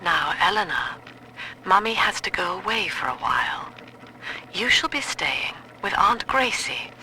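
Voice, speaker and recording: Sharp, imperious mother. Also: mid-range voice, big pitch swings, measured pace, full character voice. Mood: stern, composed. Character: controlled, imperious mother with grand, cold ambitions. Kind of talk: measured game audio-log drama. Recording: faintly noisy audio-log recording.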